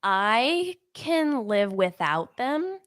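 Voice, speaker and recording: A woman's voice: a little high, big pitch swings, very slow, a bit bright. Meandering woman co-host. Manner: meandering, filler-heavy. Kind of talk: casual chat on a livestream.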